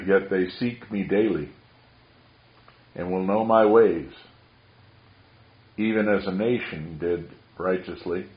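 A man's voice: low voice, slow pace, long pauses. A man preaching a sermon in an earnest, rambling, preacherly way, with a voice he says carries far. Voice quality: carrying voice. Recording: dull roomy recording.

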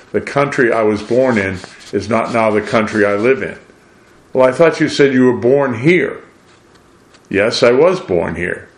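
An older American man from New England delivers a preachy monologue in a deliberate, emphatic way, posing rhetorical questions. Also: fairly low pitch. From New England, USA. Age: 60s or older. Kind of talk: preachy monologue with read-out quotations. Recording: roomy, echoing voice.

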